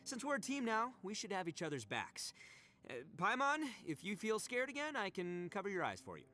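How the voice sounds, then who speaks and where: A man's voice: sits high, wildly swooping pitch, a little fast, bright, forceful tone, heavily acted. A man voicing a brash, goofy young horned oni gang boss in a video game, blustering and boisterous. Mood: cocky.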